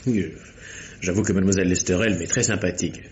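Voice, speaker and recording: An actor playing a polished, formal young society nephew, suave but defensive, in a radio mystery drama style. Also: male voice, low voice, fairly even intonation, fast, a little mellow, highs cut off, a little hiss or hum, natural voice. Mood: defensive, earnest. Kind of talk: 1950s French radio mystery acting.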